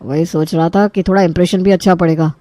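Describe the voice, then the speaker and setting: A woman playing an easygoing young husband in an animated story, with a casual, coaxing and teasing manner. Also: on the low side, fast, no echo, lightly acted. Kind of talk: Hindi animated story voice acting.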